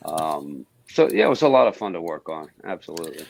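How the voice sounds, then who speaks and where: A man guesting on a podcast, talking in a halting way and searching for his words. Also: deep voice, sing-song, gravelly. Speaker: guest musician and voice actor. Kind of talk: casual podcast chat.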